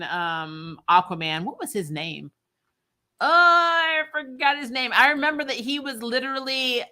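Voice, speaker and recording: Woman, bright forceful voice, medium pitch, animated casual fan commentary, blunt, joking. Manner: animated, blunt, sweary, jokey. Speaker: show host reviewing superhero TV and reading live comments. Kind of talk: casual livestream chat, rambling fan commentary.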